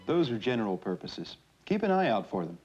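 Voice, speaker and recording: An actor playing a chatty explainer, presenting an educational film in a conversational, scripted way. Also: male voice, medium pitch, sing-song, brisk, a little mellow, natural voice. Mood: explaining. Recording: muffled 1970s film soundtrack with music.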